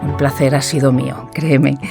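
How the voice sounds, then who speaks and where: A woman with a Peninsular Spanish accent playing a gentle, sympathetic radio interviewer in a scripted audio drama. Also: rumbling low, flat delivery, normal speed, vocal fry. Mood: appreciative. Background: music.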